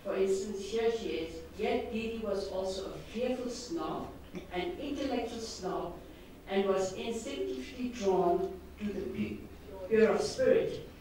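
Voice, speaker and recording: A woman's voice: mid-range voice, a little slow, dark tone, slight background noise. A woman moderating a session, asking questions in a hesitant way with many fillers. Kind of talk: conversational questioning. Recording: echoey hall picked up from far off.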